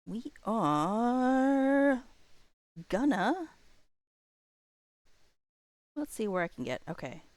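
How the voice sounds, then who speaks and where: A woman livestream host chatting casually, rambling along and thinking out loud. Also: fairly high pitch, very animated, extremely slow, long pauses, dry recording.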